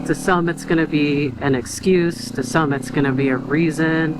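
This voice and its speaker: A man's voice: on the high side, steady tone, normal speed. A young man vlogging from his car, talking in a hesitant, rambling, reflective way full of fillers and restarts. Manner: quiet, reflective. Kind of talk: casual venting while driving. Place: in a car on the road. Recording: road and engine noise behind.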